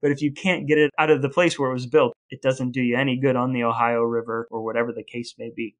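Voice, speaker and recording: A man's voice: medium pitch, little pitch movement, fast, fairly bright tone. A man co-hosting a podcast, going through researched facts in an explanatory way. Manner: explanatory, laughs at his own points. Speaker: podcast co-host. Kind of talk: casual chat, reading out researched facts.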